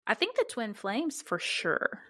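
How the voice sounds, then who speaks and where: A woman's voice: fairly high pitch, wildly swooping pitch, unhurried, sharp tone, dry recording, studio quality. A woman chatting casually as a podcast co-host, giggly and conversational. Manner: giggly, often laughing as she talks. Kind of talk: casual banter between co-hosts.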